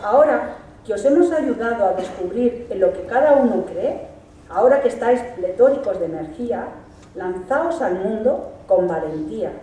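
A middle-aged woman with a Spanish accent, a teacher reading a formal graduation speech from a lectern, measured and emphatic. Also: mid-range voice, warm tone, distant mic, slight background noise. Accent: Spanish from Spain. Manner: measured, emphatic delivery with lists. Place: large hall stage. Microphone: stand mic at a lectern. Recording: echoey hall, recorded from afar.

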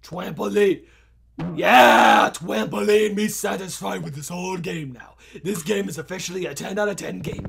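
Man doing a very gravelly, high-pitched childish clone. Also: full character voice. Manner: broken third-person grammar, mild swearing, repetitive exclamations. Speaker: gaming video host. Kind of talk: excited gameplay commentary.

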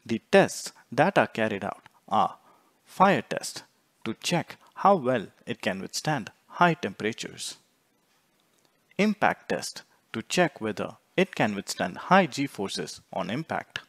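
A man reading scripted instructional narration in a measured, explanatory way, phrase by phrase. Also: lowish voice, big pitch swings, a bit bright, dry recording, studio quality. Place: close, dry voice-over recording.

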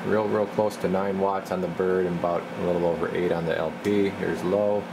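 A man's voice: low voice, fairly quick, vocal fry. A man casually narrating a hands-on radio demonstration, talking offhandedly to himself as he works. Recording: steady loud equipment and room hum.

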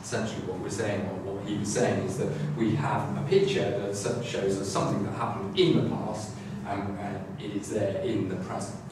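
A middle-aged man lectures to a class, stumbling over restarts and fillers as he works through his ideas. He is picked up from across a very echoey room, and a clear hum of room noise sits under his voice. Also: on the low side, level tone. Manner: halting, many restarts and fillers. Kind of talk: academic lecture. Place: classroom with a screen, indoors. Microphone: far camera. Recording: echoey room, far from the camera mic.

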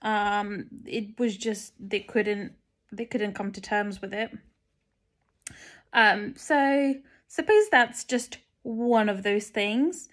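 A woman's voice: mid-range voice, slow, a bit bright. A young woman from Lithuania, speaking English with a Lithuanian accent, chatting casually and rambling with lots of ums while she stitches at home. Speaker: stitching vlogger. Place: indoors at home, stitching at a table. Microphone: phone camera looking down at the stitching, speaker off frame.

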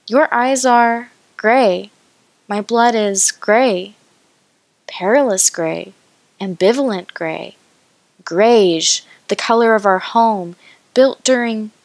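Slow, deliberate woman reciting poetry. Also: a little high, no echo. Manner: deliberate, pausing between short phrases. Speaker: poet reading own work.

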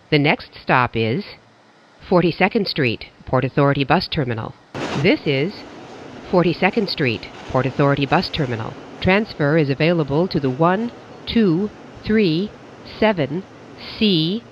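A train announcer reading scripted transit announcements in a clipped, measured, formal way. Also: mid-range voice. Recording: dull lo-fi audio with clear background noise.

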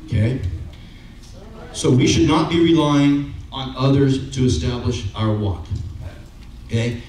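A man's voice: mid-range voice, fairly even intonation, unhurried, a little mellow. A middle-aged man preaching a sermon in a church, talking in a conversational way and checking in with the listeners with "okay?" and "right?" as he walks the stage with a handheld mic. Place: church sanctuary with brick wall. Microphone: handheld microphone, far camera. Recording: very echoey room, from far off, faint noise.

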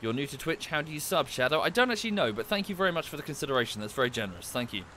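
A man's voice: fairly high pitch, big pitch swings, rapid-fire, sharp tone, slight background noise. A man livestreaming from home, chatting casually and thanking viewers, a little nasal and bunged up from a cold. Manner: rambling, thanks viewers in passing. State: coming down with a cold.

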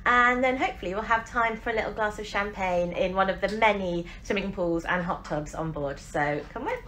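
A woman's voice: medium pitch, a little fast. A woman in her forties chatting casually to camera as a travel vlogger, gushing with enthusiasm. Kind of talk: casual vlog chat to camera. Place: cruise ship decks, venues and cabin. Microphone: phone at arm's length. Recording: loud music and busy crowd behind.

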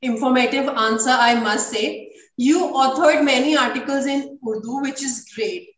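Woman interviewer, formal and measured, high voice, roomy distant video-call sound. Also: fairly even intonation, slight background noise. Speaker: interviewer, research associate.

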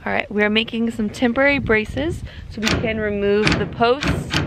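Woman, thirties, slightly high pitch, informal playful co-host, noisy outdoor camera sound. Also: normal speed. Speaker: vlog co-host filming the work. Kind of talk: casual chat while working. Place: outdoors at a barn work site.

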